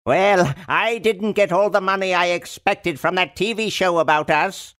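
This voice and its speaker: An actor playing a cranky, melodramatic old mad scientist in a cartoon game. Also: male voice, high voice, a little slow, a bit bright. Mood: regretful. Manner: melodramatic, grumbling.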